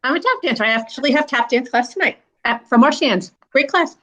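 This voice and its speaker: A woman's voice: sits high, lively intonation, a little fast, a bit bright. A woman educator reading a storybook aloud and chatting about it in an earnest, explanatory way. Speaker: school educator. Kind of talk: read-aloud of a children's book and casual discussion.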